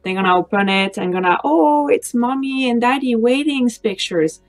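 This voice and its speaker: A woman's voice: fairly high pitch, moderate pace. A woman in her thirties or forties with a French accent, a native French speaker, talking informally and earnestly to camera as a wedding photographer giving advice. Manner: conversational, earnest, non-native English phrasing. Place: small home office, indoors.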